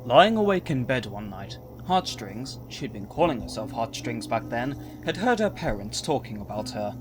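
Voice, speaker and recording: A man's voice: medium pitch, sing-song, moderate pace, noisy background, lightly acted. A man delivering scripted storybook narration as the narrator of an audio drama.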